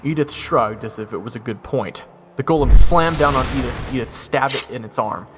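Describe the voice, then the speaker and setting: A man's voice: mid-range voice, normal speed, very noisy, lightly acted. A man playing a wry soldier who narrates his own fantasy story in a matter-of-fact way. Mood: urgent. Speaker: narrator of audio roleplay. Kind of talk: first-person scripted narration.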